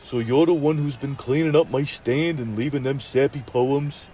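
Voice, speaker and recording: A man's voice: mid-range voice, moderate pace, warm tone, slight background noise, natural voice. A man voicing a young male hot dog vendor who talks in tough street slang and is sweet on a girl. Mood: gruff.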